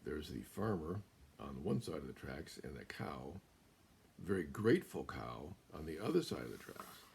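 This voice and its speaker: An American man in his seventies reading a children's picture book aloud as a guest storyteller, in a deliberate, emphatic way. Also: extremely low pitch, very animated, creaky, a little mellow, a little hiss or hum. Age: 70s. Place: small room indoors.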